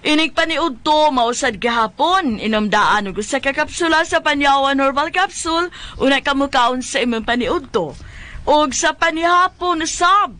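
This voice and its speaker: Casting: Cebuano female radio host, high forceful voice, instructive ad read with repeated phrasing. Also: normal speed. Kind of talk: product advertisement read.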